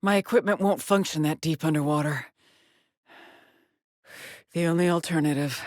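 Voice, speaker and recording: A woman's voice: low voice, moderate pace, dry recording, studio quality, natural voice. An actress voicing a haunted, determined female astronaut scout in a video game, with terse, introspective, formal phrasing. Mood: focused, clinical. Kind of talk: dramatic video game monologue and log entries.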